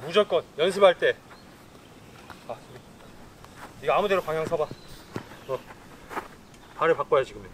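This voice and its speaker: High-voiced man coaching football outdoors. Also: animated, slight background noise, poor audio. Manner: brisk instructive commands to players. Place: outdoor artificial turf football pitch.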